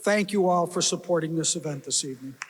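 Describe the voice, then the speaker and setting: Male emcee, high register, scripted announcements, reverberant hall. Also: fast talker, noisy background. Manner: reads thank-yous off a script. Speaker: event emcee. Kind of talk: formal announcements. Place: banquet hall at a gala.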